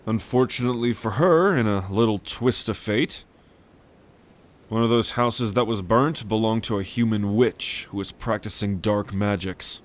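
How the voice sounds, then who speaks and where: A man's voice: lowish voice, natural voice. A man playing a world-weary, blunt dragon brother in a roleplay audio drama, telling things in a wry way. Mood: grave, reflective. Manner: wry, unhurried storytelling with cursing.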